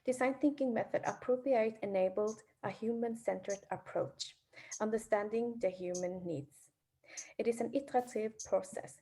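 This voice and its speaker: A young woman from Vietnam, presenting her research in a careful, measured way. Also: medium pitch, mellow, husky. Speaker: student presenter.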